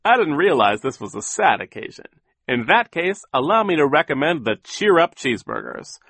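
A man's voice: on the high side, wildly swooping pitch, normal speed, gravelly, fairly bright tone, no echo, voice pushed up, exaggerated voice. A man voicing a short, skinny, goofy clown waiter with relentlessly chirpy sales patter, in an audiobook story. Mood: perky.

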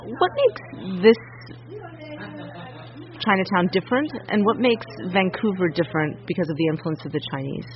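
A man working as a radio interviewer and reporter, narrating a feature and asking questions in a measured, composed way. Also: sits high, faint music behind. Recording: muffled radio audio, faint hiss.

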